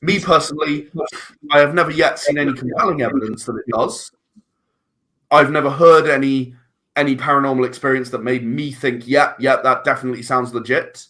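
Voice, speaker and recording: Bright-voiced man, thinking aloud. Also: medium pitch, fairly quick, poor audio. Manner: thoughtful, restarts words often. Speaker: guest filmmaker. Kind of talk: casual podcast conversation.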